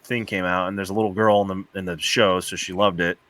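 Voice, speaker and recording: Middle-aged male panelist, lowish pitch, bright forceful tone, casual talk. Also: fairly even intonation, fast talker, no room sound. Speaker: podcast panelist. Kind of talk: casual chat.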